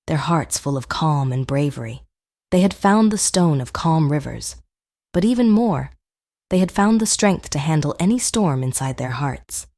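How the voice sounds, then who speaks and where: A woman with a fairly low voice narrates a children's storybook. Her reading is gentle and measured, with expressive phrasing, recorded dry and close. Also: no echo. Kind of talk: scripted bedtime storybook narration.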